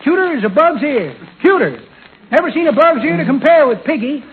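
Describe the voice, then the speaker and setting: A man's voice: extremely high pitch, very animated, a little fast, heavily acted. An actor playing a folksy, rambling, cheerful old man in 1940s radio comedy style. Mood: rambling, comic grumbling. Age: older. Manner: folksy, rambling, calls people kids and daughter. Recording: tinny, noisy 1940s radio recording.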